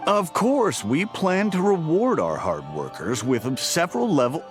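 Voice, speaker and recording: A man's voice: on the high side, big pitch swings, measured pace. A man playing a demanding, patronizing middle-aged office boss in a video game, with condescending managerial patter. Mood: placating. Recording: music behind the voice.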